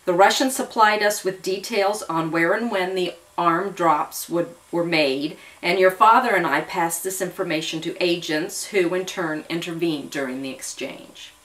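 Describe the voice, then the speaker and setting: A woman voicing a calm, serious older retired army intelligence man in an audio story, explaining in a measured way. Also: lowish voice. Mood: grave. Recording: voice distant from the mic.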